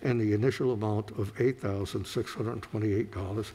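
A man with a lowish voice reads council agenda items aloud in a steady, formal cadence. He is in a council chamber, with a faint hum of noise behind him. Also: moderate pace. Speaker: city clerk.

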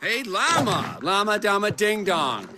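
A man's voice: sits high, sing-song, unhurried, background noise. An actor voicing a small, vain, chummy male porcupine office boss in a cartoon, with smug, corny delivery. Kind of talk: animated comedy acting.

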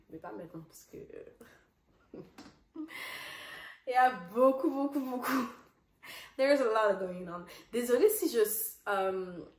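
Young woman vlogger, Dutch native, chatty and halting, mid pitch, roomy phone sound. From Congolese parents, raised partly in the Netherlands. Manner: chatty, restarts often, slips into English words. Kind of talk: casual direct-to-camera chat.

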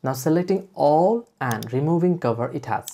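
Female tutorial presenter for screen-recording voice-over; very deep pitch, slightly husky, mellow. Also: lively intonation, normal speed. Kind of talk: software tutorial narration.

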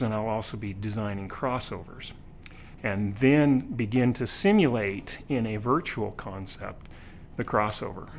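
Middle-aged man, measured technical explainer. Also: medium pitch. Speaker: director of engineering. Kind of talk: documentary interview explanation. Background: background music.

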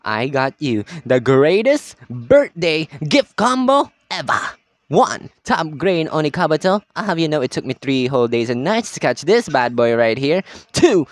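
A man's voice: on the high side, no room sound. A man voicing a brash, boisterous, big-hearted young oni gang leader from an anime game, cocky and showy in an amateur performance. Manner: cocky, showy, playful delivery.

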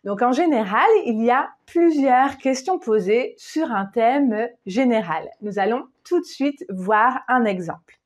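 A woman in her thirties giving a patient, clearly spoken exam-prep lesson, reading a model answer slowly, on a lapel mic in a small plain room. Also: on the high side, animated. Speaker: French teacher.

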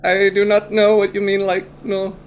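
Guy doing an alien pretending to be human, super formal and naive, high flat voice, very clean sound. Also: moderate pace, natural voice. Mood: earnest. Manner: stiff over-formal wording, muddles words and corrects self. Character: odd alien posing as human, pompous, eager, naive. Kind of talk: comedy audio sketch acting.

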